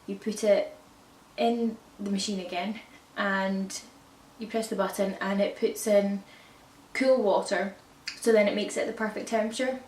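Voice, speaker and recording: A woman with a croaky voice from a cold, chatting casually to camera about baby products and often correcting herself. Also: medium pitch, fairly even intonation, unhurried, a little mellow. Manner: rambling, self-correcting, chatty. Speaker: parenting vlogger. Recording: echoey room, distant mic, faint hiss.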